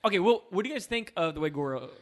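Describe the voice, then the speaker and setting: A man with a bright, somewhat high voice co-hosts a film podcast. He talks through movies casually, as if among friends. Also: animated, very fast. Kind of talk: casual discussion of films.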